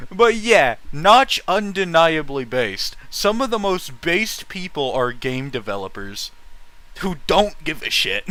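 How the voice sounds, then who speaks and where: A man's voice: a little high, sharp tone, clean tone. A man in casual, opinionated stream chat, talking bluntly and swearing freely.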